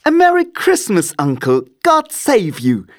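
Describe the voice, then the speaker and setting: A man voicing a cheerful, warm-hearted young nephew in a storybook reading, eager and exclamatory. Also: sits high, huge pitch swings, takes it slowly, pitched above the performer's own voice, exaggerated voice. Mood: jubilant.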